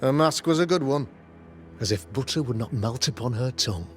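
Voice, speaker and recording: A man's voice: mid-range voice, animated, fairly quick, close to an everyday voice. Man acting as a pompous Puritan governor with music behind. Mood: righteous, stern. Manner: pompous, formal, preacherly. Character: self-righteous Puritan governor hunting witches in a colony. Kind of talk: dramatic video game acting.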